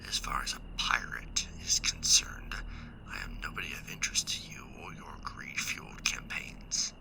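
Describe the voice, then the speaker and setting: A man playing a proud, bitter stranded mech warrior in an ASMR sci-fi roleplay, speaking in a hushed, solemn, formal way. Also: extremely low pitch, very animated, moderate pace, gravelly, heavily acted, low-quality recording. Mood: proud, defiant.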